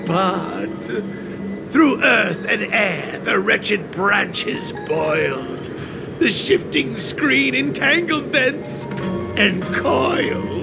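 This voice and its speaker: An eccentric game inventor with an extremely high, wildly swooping voice. He is excitable and theatrical, drifting into old-fashioned rhymed declamation, with loud music behind him. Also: drawn-out words, creaky, heavily acted. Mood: boastful, theatrical. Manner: theatrical, bursts into archaic rhyming verse. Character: eccentric, excitable male master inventor and craftsman. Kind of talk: video game character acting.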